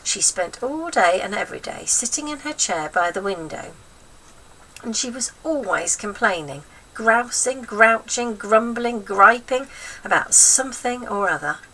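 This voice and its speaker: Bright-voiced woman reading a children's story. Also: on the high side, animated, measured pace, distant mic, a little hiss or hum, rough recording. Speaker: storybook reader. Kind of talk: expressive children's story narration.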